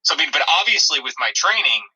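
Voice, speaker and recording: A man co-hosting a comedy podcast, improvising banter in a defensive, halting, aggrieved way. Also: a little high, sing-song, pushed and bright, husky, poor audio.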